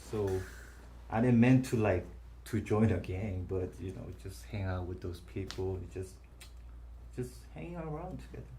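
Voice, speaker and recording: A man with a Korean accent, a native Korean speaker, giving an earnest, halting testimony as a talk show guest. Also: sits low, dark tone, slight background noise, rough recording. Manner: halting, earnest, filler-heavy. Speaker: guest, former missionary. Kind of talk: interview testimony.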